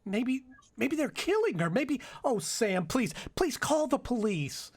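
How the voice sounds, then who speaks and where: A woman's voice: on the high side, very animated, a little slow, darkish tone. A woman playing a frantic, fragile secretary in a melodramatic old-time radio drama, stammering breathlessly and pleading. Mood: anxious, pleading.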